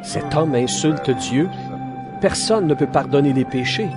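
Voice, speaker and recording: Man acting a slow, scandalized religious scholar. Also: mid-range voice, animated, close to an everyday voice. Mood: indignant. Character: indignant, doubting male master of religious law. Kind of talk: dramatized audio Bible acting. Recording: voice over clear background music.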